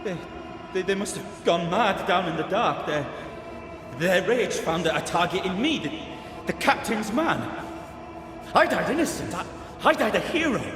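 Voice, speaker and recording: Slow, echoing ghost of a lieutenant. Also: male voice, high-pitched, expressive. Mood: indignant. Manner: halting, repeating words, dramatic. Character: male lieutenant's ghost, anguished, defensive, self-righteous. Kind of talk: dramatic video game acting. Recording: echoing hall-like reverb under music.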